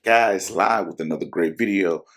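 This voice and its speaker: A man hosting an investing channel, speaking in casual, rambling talk to camera with lots of fillers and self-corrections while his voice is hoarse. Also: deep voice, normal speed, a bit bright.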